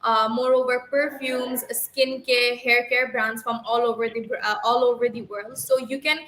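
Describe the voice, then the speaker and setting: A woman's voice: on the high side, flat delivery, moderate pace, fairly bright tone, reverberant, rough recording. A young woman from Bangladesh answering practice questions in a speaking exam, fluent but with small self-corrections. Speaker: IELTS practice candidate. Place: livestream.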